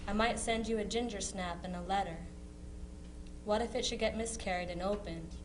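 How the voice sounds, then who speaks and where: A woman's voice: mid-range voice, fairly even intonation, normal speed, lightly acted. Noisy recording of a woman as chatty sister. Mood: chatty, teasing. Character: chatty, teasing young sister writing to soldier brother. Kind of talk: dramatic reading of Civil War letters. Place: live historical society presentation. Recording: noisy live recording with hum.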